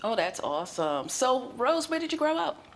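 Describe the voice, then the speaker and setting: A woman's voice: high-pitched, very animated, moderate pace, a little hiss or hum. A woman hosting a talk show, bubbly and enthusiastic as she chats with her guest. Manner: bubbly, enthusiastic, laughs often. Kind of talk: upbeat interview chat.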